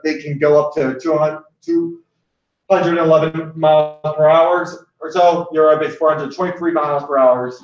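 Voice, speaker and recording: A young man from Hawaii hosting a casual chat, rambling with many restarts and fillers. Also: fairly high pitch. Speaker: host. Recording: echoey room, distant mic, dull sound.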